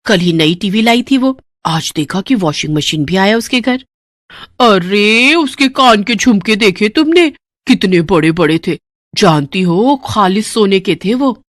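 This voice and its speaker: A woman's voice: medium pitch, dry recording, studio quality. A woman voicing a nosy, suspicious neighbourhood gossip in a cartoon story, exclaiming and conspiratorial. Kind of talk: Hindi cartoon story dialogue.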